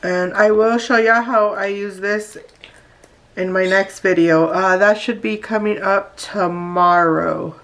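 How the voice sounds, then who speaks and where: Casting note: woman vlogger, stuffy nasal voice, slow unhurried chat. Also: mid-range voice, fairly even intonation, fairly bright tone. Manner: chatty, talks straight to viewers. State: congested and nasal from allergies. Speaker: beauty vlogger. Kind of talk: casual unboxing vlog.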